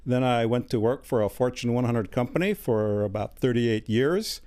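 Measured older man with a dark voice. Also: medium pitch. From United States. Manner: measured, with many hesitant fillers. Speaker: guest. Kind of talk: interview answers. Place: studio.

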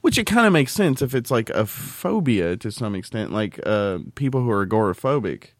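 A man co-hosting a podcast in casual, joking banter, rambling and halting as he explains things. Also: mid-range voice, very animated, no room sound, clean studio sound. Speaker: podcast co-host.

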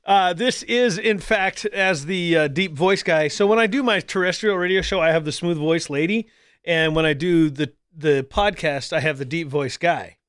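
A man hosting a talk show, chatting casually in a rambling way, full of ums and restarts. His voice sits a little high for a man. The studio sound is dry and close. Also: moderate pace, fairly bright tone, clear, resonant tone, no room sound. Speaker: podcast and radio show host. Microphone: studio microphone.

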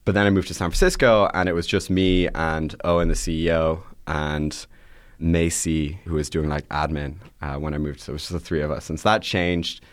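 A man's voice: very deep voice, steady tone, normal speed, no room sound, studio quality. A man, a podcast guest chatting casually and scattering "like" through his sentences. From Ireland. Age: 20s. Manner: rambling, with frequent "like" fillers. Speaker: podcast guest, startup CEO. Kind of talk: casual interview chat.

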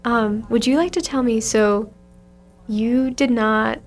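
A woman's voice: a little high, slow pace, faint music behind. A woman hosting an interview, responding with short affirming remarks and asking questions. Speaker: interview host. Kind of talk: conversational interview.

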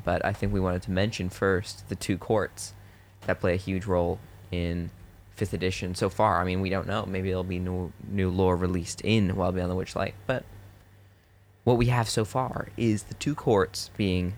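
A young man co-hosting a father-son podcast, speaking in a soft, laid-back radio voice. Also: low-pitched, flat delivery, moderate pace. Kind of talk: casual podcast chat.

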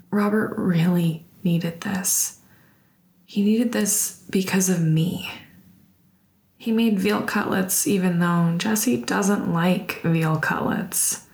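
An actress playing a troubled, secretive young woman, whispering a hesitant, intimate audio diary in a roomy recording. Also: on the low side. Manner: hushed, often whispering, hesitant. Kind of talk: intimate confessional audio drama monologue.

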